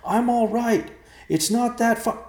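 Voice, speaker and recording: Man doing an urgent, high-pitched young adventurer. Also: steady tone, a little slow, echoey room. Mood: strained, excited. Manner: urgent, calling out. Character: brave, eager young man adventurer in a fantasy story.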